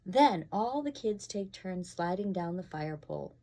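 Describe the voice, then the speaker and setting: A woman's voice: on the low side, animated, measured pace, mellow. A woman fire inspector reading a storybook aloud to children, expressively, with casual asides and questions to her young listeners.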